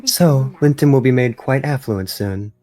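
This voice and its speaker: Performer acting an airy, proud young gentlewoman. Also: medium pitch, measured pace, close to an everyday voice. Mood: lofty, dismissive. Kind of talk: dramatic amateur game dub.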